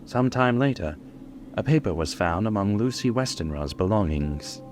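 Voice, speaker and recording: A man's voice: lowish voice, animated, normal speed, a little hiss or hum, close to an everyday voice. A man playing an earnest young doctor, narrating his journal in a formal, dramatic old-time radio style. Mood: grave narration. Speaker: narrator and character. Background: prominent music.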